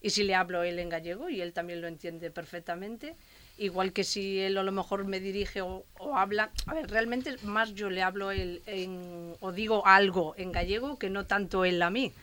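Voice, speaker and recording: A woman's voice: mid-range voice, fairly even intonation, moderate pace, a bit bright. A woman guest in a casual conversation, explaining at length.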